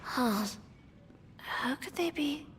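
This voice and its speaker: A woman's voice: sits high, expressive, slow, a bit bright, a little hiss or hum, full character voice. A woman playing a composed young woman in a video game, with an offhand, musing way of talking.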